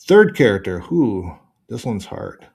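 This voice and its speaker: Guy with a lowish voice, podcast guest nerding out casually, animated, rambles and cuts himself off. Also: a little slow, gravelly. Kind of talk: casual fan chat.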